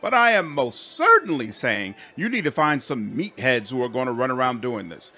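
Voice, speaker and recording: A man voicing a firm, earnest woman officer in a fantasy game, speaking in a measured, insistent way. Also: medium pitch, sing-song, pushed and bright.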